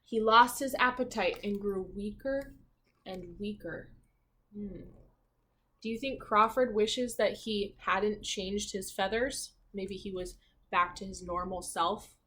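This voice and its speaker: A woman teacher reading a children's storybook aloud expressively, pausing to put simple questions to listeners. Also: mid-range voice, sharp tone.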